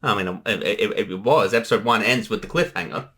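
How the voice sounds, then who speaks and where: A creator chatting casually through a commentary track, in an animated, rambling way. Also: mid-range voice, fairly quick. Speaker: creator giving commentary, voice actor. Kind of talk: casual commentary chat between two creators.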